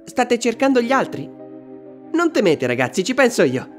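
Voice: medium pitch, big pitch swings, moderate pace, soft background music, natural voice. A performer voicing a bewildered, cheeky younger animatronic rabbit in an incredulous cartoon dub.

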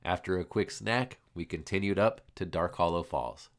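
A man's voice: low-pitched, normal speed, a little hiss or hum. A man narrating and commenting on a hike in a casual travel vlog style. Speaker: hiking vlogger and narrator. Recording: loud music behind the voice. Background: music with plucked guitar.

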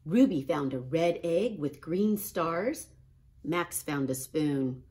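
A middle-aged woman speaks as a kindergarten teacher to young children. Her voice sits on the low side but moves in a very animated way, in the expressive style of storybook reading. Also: slow pace. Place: small classroom, indoors.

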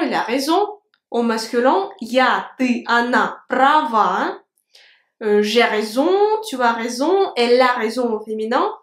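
Slow, high-voiced Russian teacher speaking French. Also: female voice, reverberant. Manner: clear, slow enunciation of words with gestures. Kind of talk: language lesson to camera. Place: indoor room with a plant. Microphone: camera at medium framing.

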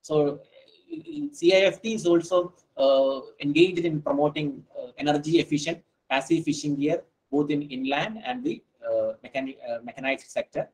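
Halting man on a headset video call. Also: a little high, a little mellow, rough recording. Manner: halting, many "uh" fillers. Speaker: fisheries scientist giving a webinar talk. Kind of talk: online lecture and Q&A.

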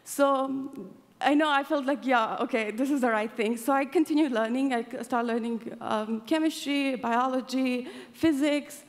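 Young woman from afghanistan, earnest speech w lots of ums, highish voice, big echoey hall. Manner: earnest, halting with many fillers. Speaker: award event speaker. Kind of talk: personal speech at a podium. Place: large event hall. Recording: hall reverb from a stage mic.

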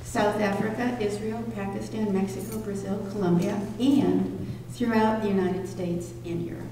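An older woman at a podium in a community hall, reading a prepared introduction in a measured, careful way. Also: medium pitch, steady tone, dark tone. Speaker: event organizer introducing a speaker. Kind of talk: prepared introduction read from notes. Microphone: stand microphone at podium, picked up distantly. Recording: echoey hall from far off, faint noise.

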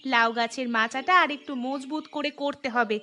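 High, bright caring village wife played by a woman. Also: steady tone, moderate pace. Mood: fussing, affectionate. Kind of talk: Bengali cartoon story acting.

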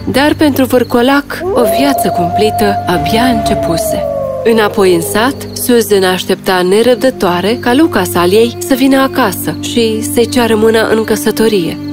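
A woman's voice: fairly high pitch, lively intonation, loud music behind the voice. A woman reading scripted fairy tale narration as a storyteller.

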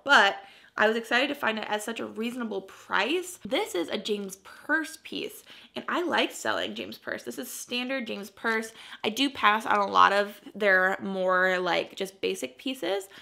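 A woman's voice: mid-range voice, creaky, sharp tone. A young adult woman with an American accent, chatting casually and enthusiastically to camera as a vlogger showing her finds at home. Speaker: vlogger, clothing reseller. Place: bright furnished living room at home.

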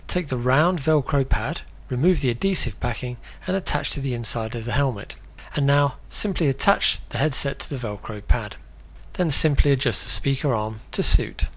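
A man reading a scripted instructional product guide in a clear, step-by-step way. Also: medium pitch, a bit bright.

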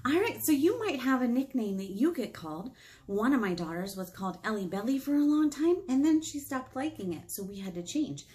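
A woman's voice: a little high, very animated, fairly quick, a little mellow. An American woman in her thirties hosting a children's storytime, chatting in simple phrases and asking young listeners questions. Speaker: children's librarian, storytime host. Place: small indoor room, painted wall.

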